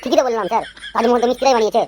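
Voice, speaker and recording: Woman playing a chatty schoolboy, complaining, rapid-fire, higher voice, comic cartoon. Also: fairly even intonation, a little mellow, natural voice. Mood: innocently cheeky. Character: chatty, grumbling schoolboy weary of teachers.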